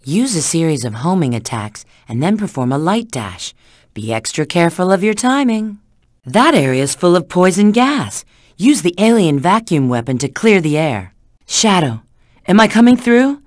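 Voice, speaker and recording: A woman's voice: on the low side, sing-song, moderate pace, a little mellow, no room sound, studio quality. A woman voicing a sly, flirtatious, confident treasure-hunting agent in a video game, giving hints and callouts with teasing assurance. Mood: earnest, urgent.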